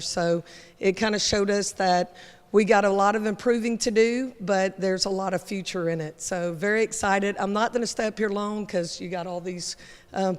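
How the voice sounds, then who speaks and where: A woman coach giving informal remarks to an audience at a media day. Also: mid-range voice. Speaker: college basketball coach. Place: large indoor hall, speaking to an audience. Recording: echoey hall sound with long reverb.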